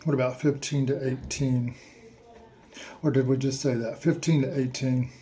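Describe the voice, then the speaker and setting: A man slowly asking listeners a series of reflective questions, with playful asides in a surprised voice. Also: medium pitch, little pitch movement, mellow. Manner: slow, repetitive questions with mock-surprised asides.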